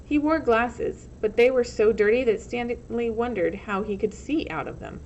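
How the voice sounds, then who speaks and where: A woman's voice: a little high, normal speed. A woman reading a storybook aloud as narrator, in the manner of a teacher. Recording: muffled lo-fi recording, loud background noise.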